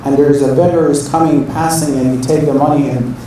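A man gives a storytelling sermon, throwing out rhetorical questions and then sinking into quiet asides. He sounds distant from the microphone, and the room rings with heavy echo. Vehicle noise is clearly audible underneath. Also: a little high, a little fast. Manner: animated, swings from loud questions to quiet asides. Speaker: religious speaker addressing young listeners. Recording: very echoey room, far from mic, vehicle noise.

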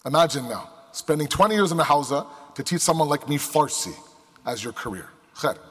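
High-voiced male preacher in a reverberant hall. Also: animated, fairly quick, fairly bright tone, husky. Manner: emphatic, with rhetorical questions and repetition. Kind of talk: sermon to a live congregation. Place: large indoor hall before a crowd. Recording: echoey hall with long reverb.

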